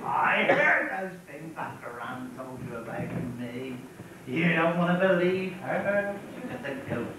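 A man's voice: on the high side, lively intonation, unhurried. Man playing a hammy pantomime wolf, echoey hall. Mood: sly, boastful. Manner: hammy, declamatory. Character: boastful, greedy, mischievous male pantomime wolf. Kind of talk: amateur pantomime acting, playing to the audience. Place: village hall stage. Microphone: far camera in the audience. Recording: echoey hall from far off, noisy camcorder.

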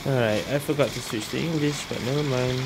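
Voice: medium pitch, brisk, very noisy. A gaming streamer giving casual commentary, musing aloud as they play. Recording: loud game music behind.

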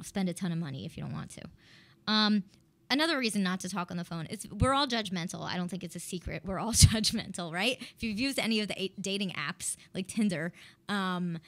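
A woman's voice: mid-range voice, fairly bright tone. A woman in her thirties hosting a live radio talk show as a dating coach, chatty and upbeat, laughing now and then. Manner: chatty, upbeat, laughs often, speaks with hand gestures. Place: small radio studio. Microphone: studio mic on a boom arm, headphones on.

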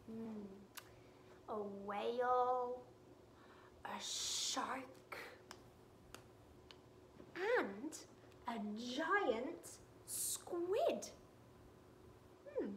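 A woman in her thirties reading a picture book aloud to young children indoors, animated and questioning her listeners. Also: fairly high pitch, a little hiss or hum. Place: small room indoors.

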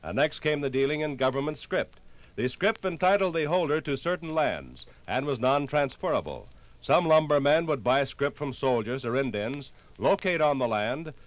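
An American man reading a scripted 1950s radio documentary in a formal, measured, authoritative way. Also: medium pitch, low-quality recording. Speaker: narrator. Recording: vintage 1950s radio LP recording.

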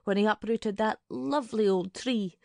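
An actress playing a suspicious, fretful older woman neighbour in an audio drama monologue, brooding in clipped, deliberate fragments. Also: medium pitch, vocal fry, dark tone, dry recording, studio quality, lightly acted. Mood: aggrieved.

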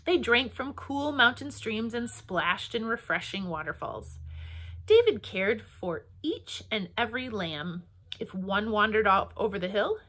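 Female children's storyteller, forties, pastor type. Unhurried delivery, lively swooping intonation, simple phrasing. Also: fairly high pitch. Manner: animated, simple phrasing with deliberate pauses for children. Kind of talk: storytelling and talking to young children. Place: small room at home or office, indoors.

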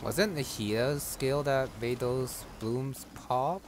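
A man's voice: medium pitch, slow pace, noisy background. A man giving casual gameplay commentary, musing to himself as he plays. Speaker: gamer, player commentator. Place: indoors, voice over gameplay audio.

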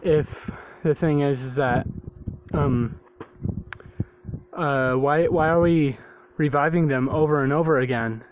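A man voicing a puzzled, deferential servant in a cartoon, stammering haltingly as he questions his master. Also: on the high side, a little hiss or hum, low-quality recording.

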